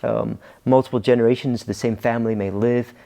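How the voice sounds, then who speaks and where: A Scottish pastor in middle age, talking as an interview guest. His voice is dark and gravelly, and he often hesitates and restarts his sentences. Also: mid-range voice, steady tone, brisk. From Scotland. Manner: hesitant, many ums and restarts. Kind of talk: casual interview talk.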